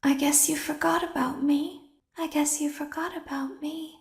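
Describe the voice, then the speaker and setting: Creaky high-pitched creepy animatronic. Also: female voice, fairly even intonation, normal speed. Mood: taunting. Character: creepy, taunting, forgotten animatronic. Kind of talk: scripted horror game villain lines. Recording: echoey with very loud noise.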